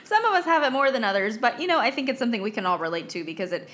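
Higher-voiced American woman, joking and self-deprecating. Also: expressive, rapid-fire, fairly bright tone. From United States. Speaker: podcast co-host. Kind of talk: casual chat.

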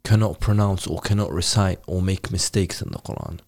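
A man interviewing a guest in casual conversation, talking haltingly with many restarts and fillers. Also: sits low, steady tone, a little mellow.

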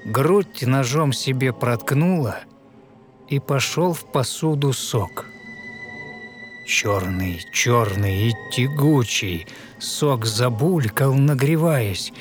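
A man narrating a fairy tale in verse as a storyteller, with measured, rhythmic, dramatic delivery. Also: mid-range voice, soft background music. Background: musical score.

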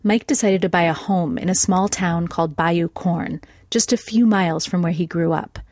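A woman reading scripted documentary narration in a measured, clear way. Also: fairly low pitch, darkish tone, no echo, clean studio sound.

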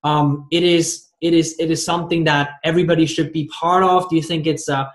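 The host of an interview podcast in an echoey room, a man with a slightly high voice who circles and restarts his way toward each question. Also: flat delivery, brisk. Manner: rambling, stumbles and repeats words. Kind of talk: conversational interview. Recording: roomy, echoey sound.

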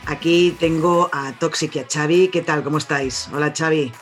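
A high-pitched man with a Spain Spanish accent hosts a casual film podcast. He talks in a chatty, easy way, steering his co-hosts along. Faint music plays under his voice. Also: steady tone, normal speed, a bit bright. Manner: chatty, runs the show and announces a giveaway. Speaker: podcast host.

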